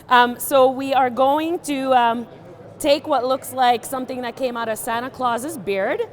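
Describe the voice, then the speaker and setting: Deadpan young woman with filler words. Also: on the high side. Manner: deadpan, playful, many fillers. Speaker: guest fly tier. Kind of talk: joking fly-tying demo.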